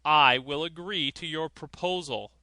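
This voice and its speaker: A man's voice: on the high side, measured pace, bright, forceful tone, dry recording, heavily acted. A man voicing a merry, cunning countryman in a fairy tale, with a hearty, bold manner. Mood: smug.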